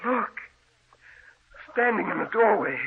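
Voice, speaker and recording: A man's voice: high-pitched, lively intonation, takes it slowly, creaky, husky, full character voice. An actor playing a distraught bedridden man obsessed with his dead beloved, insistent and melodramatic, in old-time radio horror style. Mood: desperate yearning. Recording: tinny, band-limited old radio recording.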